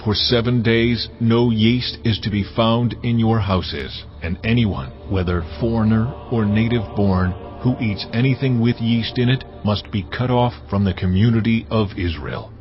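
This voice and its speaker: The voice of God issues measured, authoritative decrees, a man acting the part. The tone is low and steady. Music plays beneath on a slightly dull track. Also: close to an everyday voice. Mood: solemn. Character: solemn, commanding voice of God. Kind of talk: dramatized scripture reading. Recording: dull audio over music.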